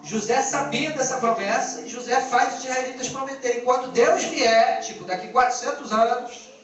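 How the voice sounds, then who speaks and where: High-voiced Brazilian-accented male preacher, echoey recording. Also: moderate pace, lo-fi sound, slight background noise. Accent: Brazilian Portuguese. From born in Angola, lived in Brazil. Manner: colloquial, exhortative, rhetorical questions and repetition. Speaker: pastor preaching to a church. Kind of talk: informal sermon. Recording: echoey distant band-limited live stream, music behind.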